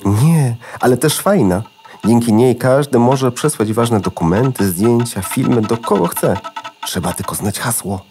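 Old floppy disk for kids' audio play, male actor: mid-pitched, melodramatic, politely old-fashioned, forgotten and wistful. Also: measured pace, music in the background, natural voice. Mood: wistful, explanatory. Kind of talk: children's audio drama acting.

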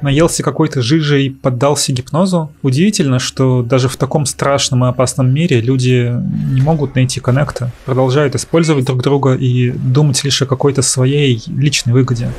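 A man hosts a review in a casual, scripted voice-over. His voice sits at an ordinary middle pitch. Music plays softly behind him. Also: steady tone, a little fast, hardly any pauses, breathy edge. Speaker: host reviewer of cartoons.

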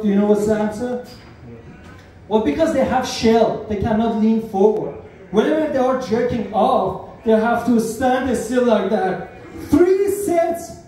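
A young man with a Persian accent performs stand-up in a small comedy club, his voice pitched fairly high. He talks in animated, slightly broken English phrases. The sound is strongly echoey, picked up by a camera from across the room. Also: far from the mic, a little hiss or hum. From Iran. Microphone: handheld mic, heard from a far camera.

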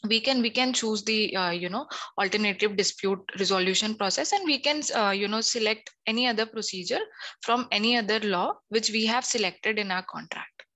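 A woman's voice: medium pitch, fairly quick, sharp tone. A young woman from India on a headset video call, explaining law hesitantly with many fillers. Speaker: legal analyst guest.

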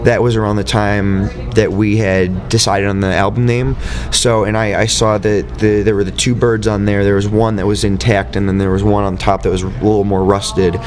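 A man's voice: fairly low pitch, normal speed, few pauses. A young man, a band member, answering interview questions in a loose, rambling way with lots of fillers, seated on a living-room couch. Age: young adult. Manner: rambling, relaxed, many fillers. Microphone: far camera mic.

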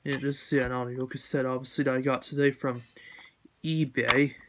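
A man giving a casual, unscripted collection update in a halting, repetitive, matter-of-fact way. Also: medium pitch, level tone, background noise. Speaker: collector showing purchases.

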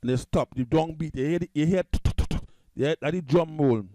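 A man's voice: fairly high pitch, fast, warm tone, faint music behind. Trinidadian man talking through a tutorial. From Trinidad and Tobago. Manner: loose, repetitive, restarts a lot. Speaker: music production tutor. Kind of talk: casual screen-recorded software tutorial.

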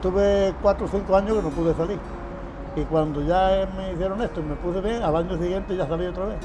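A man's voice: sits high, brisk. Older man, plain-spoken, over music. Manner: plain-spoken, repeats phrases, chuckles often. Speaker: former patient. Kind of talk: casual interview answers. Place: shaded outdoor patio. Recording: music bed underneath. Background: background music.

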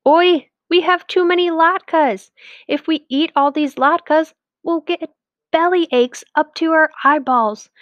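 A woman's voice: very high voice, takes it slowly, dry recording, lo-fi sound, voice pushed up. A woman voicing an exasperated, dramatic village wife from a storybook, groaning and exclaiming. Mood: overwhelmed.

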